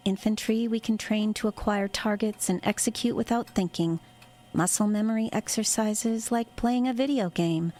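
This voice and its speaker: A calculating military woman in an audio drama, played by an actress. Her voice sits at a medium pitch, and she speaks with clinical control and cool authority. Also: moderate pace, mellow, natural voice. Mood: coolly calculating. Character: cold, calculating woman superior in a covert military unit. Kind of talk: audio horror drama acting.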